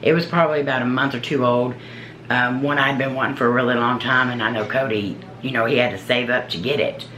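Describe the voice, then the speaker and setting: A woman with a deep, low voice, a paranormal investigator telling her own story in a casual, earnest, halting way. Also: fairly even intonation, a bit bright. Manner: earnest, halting, searching for words. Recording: roomy echo.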